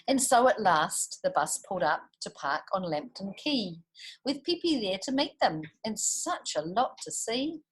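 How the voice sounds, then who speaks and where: A woman's voice: mid-range voice, very animated, a little slow, low-quality recording. A woman with a New Zealand accent reading a picture book aloud to children, expressively, with chatty asides. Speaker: storytime reader for children.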